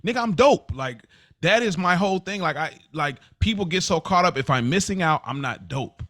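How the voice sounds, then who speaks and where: A man's voice: on the high side, animated, normal speed, fairly bright tone, dry recording, clean studio sound. A man hosting a casual podcast conversation, talking emphatically and repeating phrases to build his point. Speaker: podcast host.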